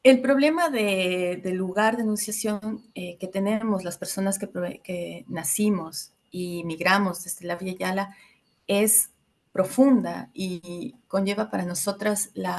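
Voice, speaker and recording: A woman from Ecuador in her thirties giving a reflective educational talk to a group, pausing often with fillers. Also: mid-range voice. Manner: reflective, many filler hesitations. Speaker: workshop facilitator.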